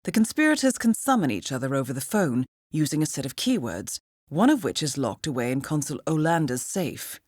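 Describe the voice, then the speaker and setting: An actress playing a composed, dryly witty British intelligence handler who briefs an assassin in a measured, precise, formal way for a video game. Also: female voice, low-pitched, big pitch swings, a little mellow, no room sound, studio quality. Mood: composed, businesslike. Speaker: mission handler.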